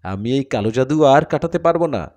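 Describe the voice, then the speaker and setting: A man's voice: mid-range voice, animated, fairly quick, darkish tone, natural voice. A man voicing a merciless occult sorcerer in a horror story, with a harsh, threatening delivery.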